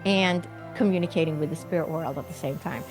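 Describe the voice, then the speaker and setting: A woman's voice: sits low, fairly quick, over music. A middle-aged woman from Sweden, a physician and medium, telling her story earnestly in an interview with light laughs.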